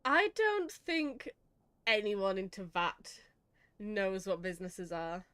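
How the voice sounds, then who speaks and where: A woman's voice: medium pitch, lively intonation, takes it slowly, a bit bright, smooth, no echo. A woman doing casual reaction commentary over a video game, with dry, sarcastic, giggly humour. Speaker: gaming video host, psychologist.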